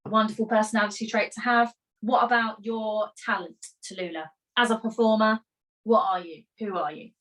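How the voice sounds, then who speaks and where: A woman coaching musical theatre performers in a live online masterclass, energetic and encouraging, chatty with rhetorical questions. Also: mid-range voice, normal speed, rough recording. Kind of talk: live online masterclass, reading chat comments.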